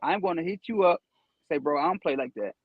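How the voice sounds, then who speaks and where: Guest, young adult male, husky and high-pitched, laid-back, explains at length, dry dull sound. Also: quick pace, no echo. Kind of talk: casual conversation.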